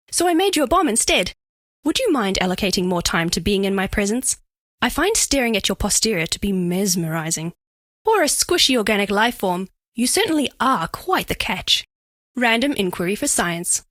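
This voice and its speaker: A woman's voice: a little high, fairly bright tone, dry recording, clean studio sound. A woman voicing a curious female alien crystal being for a dating game, speaking formally with stilted, precise, clinical phrasing and awkward flirtation. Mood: coy, flirtatious. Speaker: video game voice actress. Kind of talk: scripted dating-game character voice lines.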